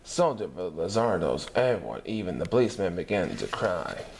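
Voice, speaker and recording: A man reading a children's picture book aloud in an animated storytelling manner, putting on voices for characters. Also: low voice, moderate pace, slight background noise. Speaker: story reader.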